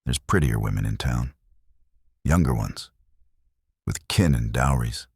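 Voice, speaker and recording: Man voicing a hushed, husky, weary frontier widow. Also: rumbling low, expressive, measured pace, no echo, clean studio sound, voice pushed down, exaggerated voice. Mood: guarded, hesitant. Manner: hushed, hesitant. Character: weary, wary young frontier widow, grieving and guarded.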